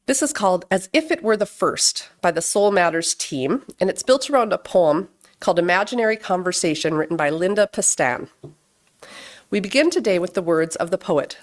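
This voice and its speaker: A woman with a slightly low voice leads a church service. She reads from prepared text in a measured, deliberate manner, like formal readings and introductions for a congregation. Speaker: worship service leader at a Unitarian Universalist fellowship.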